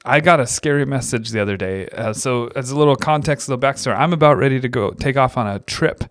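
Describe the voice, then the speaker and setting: A man's voice: mid-range voice, quick pace. A man with a mid-range voice talks casually as a podcast co-host. He tells stories in a conversational way, often letting laughter slip into his words. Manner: giggly, laughs readily while talking. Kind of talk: casual chat, anecdote telling.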